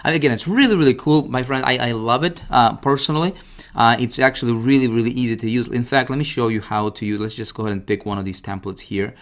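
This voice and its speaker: A man presenting a casual, informal software tutorial, talking directly to the listener and often checking that they follow. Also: medium pitch, quick pace. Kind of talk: casual screen-share product walkthrough.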